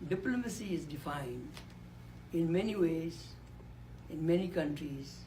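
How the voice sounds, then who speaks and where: A man's voice: on the high side, measured pace, warm tone, a little hiss or hum, rough recording. A man, giving a reflective speech on a conference panel into a microphone and repeating key words for emphasis. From Nepal. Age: 80s. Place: conference room. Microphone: handheld or podium microphone.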